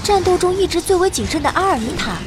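A woman's voice: very high voice, animated, quick pace, very noisy. A woman playing a young heroine who narrates her adventure in a dramatic scripted first-person style.

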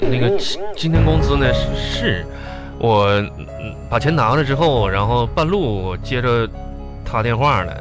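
A man's voice: mid-range voice, lively intonation, unhurried, over music, close to an everyday voice. A man acting a sheepish, pleading husband caught fibbing in a live comedy radio drama, stammering and repeating himself with a Northeastern Chinese accent. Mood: panicked, pleading. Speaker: radio show host and performer.

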